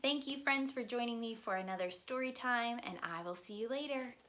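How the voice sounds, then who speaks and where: A woman's voice: on the high side, fairly quick, sharp tone, slight background noise. A woman preschool teacher hosting a read-aloud story time, speaking in simple phrases to young children.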